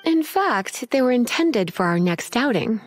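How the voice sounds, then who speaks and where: A woman's voice: on the high side, very animated, unhurried, noisy background. A woman playing a poised, languid librarian mage in a video game, formal in wording, teasing and faintly menacing. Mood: teasing, pleased. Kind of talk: video game character acting. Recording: music behind the voice.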